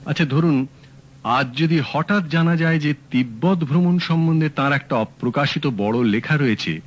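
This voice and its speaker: A man playing a sharp, composed private detective in an old radio drama, speaking calmly and politely. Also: fairly high pitch, moderate pace, lightly acted. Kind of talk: 1970s radio drama acting. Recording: dull lo-fi radio recording.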